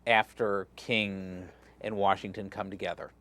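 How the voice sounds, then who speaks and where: Give me a friendly, low-voiced rabbi talking. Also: male voice, flat delivery, unhurried, a bit bright, no echo. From Galveston, Texas. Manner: friendly, rambling, many fillers and restarts. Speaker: rabbi, interview guest. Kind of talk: casual interview conversation.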